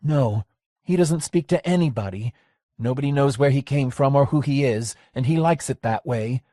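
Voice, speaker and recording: A hard-edged, streetwise woman , played by a male audiobook reader, snaps her lines curtly. His voice sits higher than usual, and the sound is dry and close. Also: moderate pace, warm tone, no room sound, close to an everyday voice. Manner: curt, dismissive. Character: tough blunt streetwise woman.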